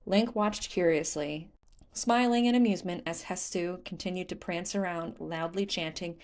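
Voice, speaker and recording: A woman's voice: fairly low pitch, moderate pace, a little mellow. A woman reading scripted fan fiction as an audiobook narrator.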